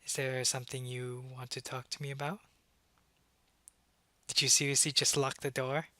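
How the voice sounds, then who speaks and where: Male actor as smug vampire businessman, bright forceful voice, measured, politely menacing, ASMR audio drama, very dry close sound. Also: medium pitch, little pitch movement, dry recording. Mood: coolly amused. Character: suave, calculating vampire businessman, head of a corporation.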